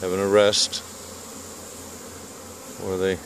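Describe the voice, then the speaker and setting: A beekeeper from Canada narrating his bee yard outdoors in a calm, unhurried way, filming on a handheld phone. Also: low voice, slight background noise. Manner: calm, unhurried commentary in short phrases. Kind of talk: casual outdoor video narration. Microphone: handheld phone camera. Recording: clear bee buzzing outdoors.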